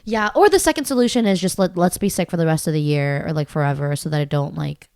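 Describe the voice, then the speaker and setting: A young woman in her twenties co-hosting a podcast, a bit congested, rambling in a relaxed way. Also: deep voice, fast talker, no echo. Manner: relaxed, rambling, thinks aloud. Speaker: podcast co-host. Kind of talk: casual chat.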